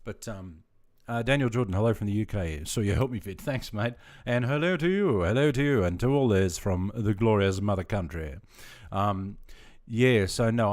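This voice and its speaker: A middle-aged man from Sydney with an Australian accent, deep-voiced and chatty, talking casually with viewers on his gaming livestream. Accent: Australian, with some Queensland features. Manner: chatty, friendly, greets viewers by name.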